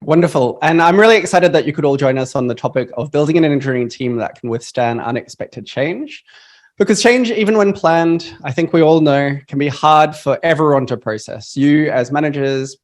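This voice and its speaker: A man's voice: medium pitch, a little mellow. A man hosting an online panel on a video call, upbeat and friendly, with frequent fillers. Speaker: panel moderator.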